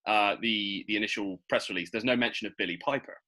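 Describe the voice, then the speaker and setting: Male podcast host, low-pitched and rapid, animated, casual fan-chat delivery.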